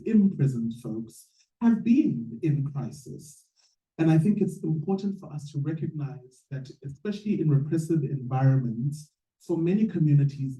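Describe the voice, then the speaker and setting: A man with a southern African accent giving a measured, earnest speech as an activist on a panel. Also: fairly high pitch, dark tone. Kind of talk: prepared public panel speech. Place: echoey room at a panel event. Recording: echoey room, distant mic.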